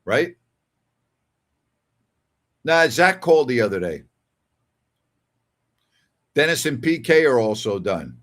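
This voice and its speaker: A man's voice: medium pitch, very animated, takes it slowly, long pauses, fairly bright tone. An older man hosting a casual sports call-in show, talking in a conversational, emphatic way and repeating phrases for emphasis. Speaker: sports talk show host. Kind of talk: casual call-in show chat reading listener messages.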